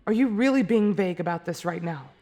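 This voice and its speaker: A woman's voice: lowish voice, animated, quick pace, dark tone. A woman playing a serious, skeptical, proud female warrior who speaks formally and guardedly in an anime fan drama. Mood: indignant.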